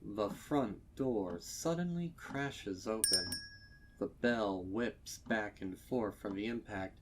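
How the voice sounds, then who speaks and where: A man narrating scripted fiction in a dramatic, measured storytelling manner with deliberate phrasing. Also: lowish voice, very noisy.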